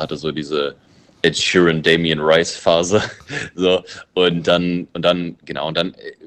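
A very low-pitched man whose first language is English talks about his music in a relaxed interview. He muses and thinks aloud, circling back on his points. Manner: loose, musing, thinks aloud. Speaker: musician and producer. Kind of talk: casual interview answers.

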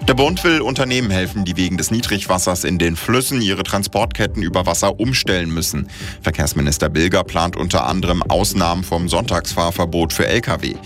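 A man reading the morning radio news in a crisp, measured way. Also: low voice, fairly bright tone, faint music behind. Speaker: newsreader.

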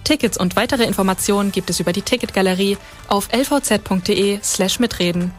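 A woman doing a scripted radio commercial voice-over in a clear promotional tone. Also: mid-range voice, fast, soft background music.

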